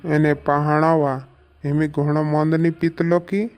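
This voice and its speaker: A man's voice: fairly high pitch, little pitch movement, measured pace, darkish tone, natural voice. A man playing a village father and head of the household in a cartoon comedy. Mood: questioning.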